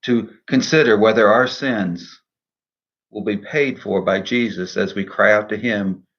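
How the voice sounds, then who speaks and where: A man speaking in a measured, earnest, deliberate way, in the style of a devotional poetry reading and reflection. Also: fairly low pitch, a bit bright, lo-fi sound. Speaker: minister and poet reading own poem.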